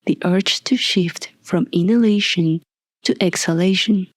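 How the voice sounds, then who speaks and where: A woman leading a guided meditation as a scripted narration, soft and breathy, calm and soothing, with deliberate long pauses. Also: fairly low pitch, no room sound, clean studio sound.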